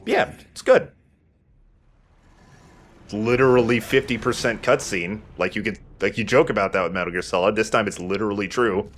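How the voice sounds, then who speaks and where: Low-voiced man, joking gaming commentary. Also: normal speed, a bit bright. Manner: joking, slangy. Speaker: let's play co-host. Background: video game ambience.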